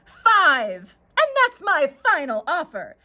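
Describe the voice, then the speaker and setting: A woman plays a short, round storybook swindler with a bright, high voice. The patter is gushing and wheedling, swooping up and down with oily charm. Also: wildly swooping pitch, takes it slowly, exaggerated voice. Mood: haggling. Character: short round grinning stranger, smooth-talking swindling trader.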